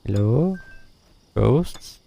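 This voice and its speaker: A man's voice: mid-range voice, expressive, very slow, creaky. A man giving casual live gameplay commentary as a streamer, with dry, offhand asides. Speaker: game streamer. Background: game music.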